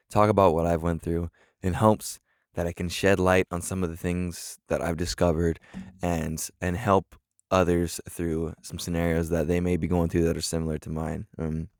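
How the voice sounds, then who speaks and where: Young man, 20s, earnest first-time vlogger: low, gravelly voice, stumbling restarts, dry close sound. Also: a little mellow, dry recording. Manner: earnest, halting, many fillers and restarts. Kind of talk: casual talk to camera.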